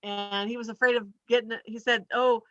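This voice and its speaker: A woman with a fairly high, bright voice makes casual small talk as a show guest. The call audio is dull and lo-fi. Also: little pitch movement, a little fast, no room sound. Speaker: guest, chiropractor. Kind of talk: casual chat. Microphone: video call.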